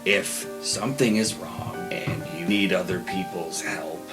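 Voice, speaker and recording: Man playing a low-voiced, easygoing student adventurer. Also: unhurried. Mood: wary, firm. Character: blunt, easygoing young male student adventurer. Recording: music playing behind the voice.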